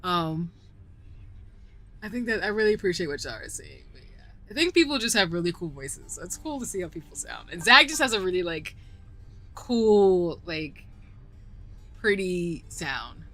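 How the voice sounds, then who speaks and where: A young woman with a Southern drawl chats on a gaming stream, giggling often and rambling through filler words. Her voice is bright and bouncy, leaping between high and low notes, with faint music playing behind her. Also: very animated, normal speed, pauses often. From southern United States. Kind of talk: casual live-stream chat while gaming. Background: faint background music.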